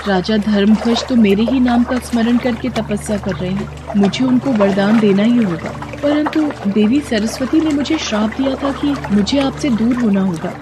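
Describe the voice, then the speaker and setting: A woman's voice: on the high side, moderate pace, few pauses, background noise, lightly acted. A woman voicing a proud regal goddess. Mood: pleading. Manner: dignified, haughty. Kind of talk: storybook voice acting.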